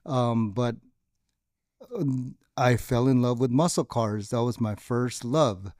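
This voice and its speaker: A man from the Philippines, whose first language was Tagalog, telling relaxed, rambling stories in a casual interview. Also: medium pitch, slow, dry recording, clean studio sound. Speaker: guest, lowrider club member.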